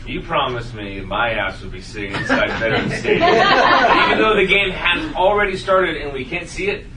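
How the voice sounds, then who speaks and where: A man acting an excitable, sheepish teenage son in a comedy script table read. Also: on the high side, normal speed, over a noisy crowd. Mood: elated. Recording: roomy, distant mic, very noisy room.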